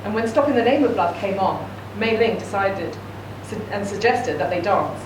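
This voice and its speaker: A woman's voice: mid-range voice, expressive, moderate pace. A woman reading a short story aloud at a live reading event, narrating steadily. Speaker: reader. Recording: echoey room, distant mic, noisy.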